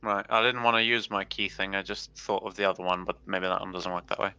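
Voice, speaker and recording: Male player, low voice, tense and crisp, unsure and questioning. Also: fairly even intonation, fast talker, bright, forceful tone, highs cut off. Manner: hesitant, asks rules questions. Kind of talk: casual game chat.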